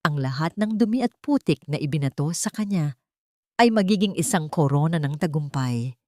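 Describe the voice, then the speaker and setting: Female Filipino narrator for dramatic audiobook fiction; lowish register, very dry recording. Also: expressive, normal speed, a little mellow, no room sound. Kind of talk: dramatic scripted story narration.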